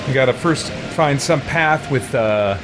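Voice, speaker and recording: A man's voice: mid-range voice, unhurried, background noise. A man giving casual gameplay commentary, thinking aloud as he plays a roleplaying video game. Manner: thinks aloud while playing.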